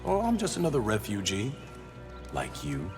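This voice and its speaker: An actor playing a wise, hopeful human refugee in a video game, wry, philosophical and encouraging. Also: male voice, lowish voice, wildly swooping pitch, moderate pace, in a large hall, music in the background. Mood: earnest. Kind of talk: video game cutscene acting.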